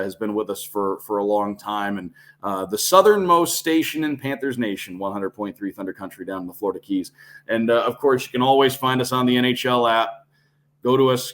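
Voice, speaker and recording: A man's voice: fairly low pitch, fairly bright tone. A man who works as a sports radio broadcaster, explaining details fluently in a conversational podcast guest style.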